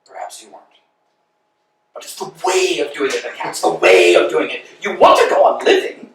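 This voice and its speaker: A man's voice: on the high side, big pitch swings, normal speed, slight background noise. Vladimir, a man playing a fretful, insistent tramp. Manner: fussing, insistent, lecturing. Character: anxious, talkative male tramp. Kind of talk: staged play reading. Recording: echoey room, mic far off.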